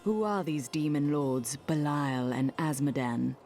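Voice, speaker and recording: A stern warrior heroine with a low, dark voice. She sounds resolute in a game scene, with faint music behind her. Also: lively intonation, unhurried.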